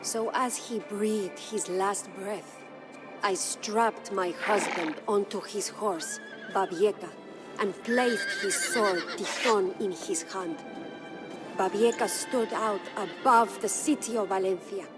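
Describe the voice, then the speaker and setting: A woman's voice: mid-range voice, huge pitch swings, slow pace, poor audio. An actress playing a proud, grieving medieval Spanish noblewoman who narrates her story in a solemn, stately, formal way for a video game. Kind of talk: dramatic scripted storytelling. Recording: studio narration over prominent music.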